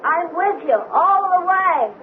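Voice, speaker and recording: Very high-voiced plucky, wry woman. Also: lively intonation, takes it slowly, exaggerated voice. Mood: flirty teasing. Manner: teasing, quippy. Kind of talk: radio drama acting. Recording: noisy, phone-like old radio recording.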